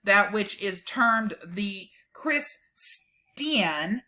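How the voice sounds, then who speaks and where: A woman giving a dramatic, deliberate reading of a document, with emphatic delivery and casual sardonic asides. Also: mid-range voice, lively intonation, pushed and bright.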